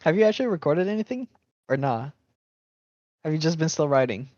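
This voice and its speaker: Young guy, kinda higher voice, says like a lot, muffled dry lo-fi mic. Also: lively intonation, brisk, a little mellow, no room sound. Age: young adult. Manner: rambling, many "like" fillers. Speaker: music collaborator and co-host. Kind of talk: casual podcast chat.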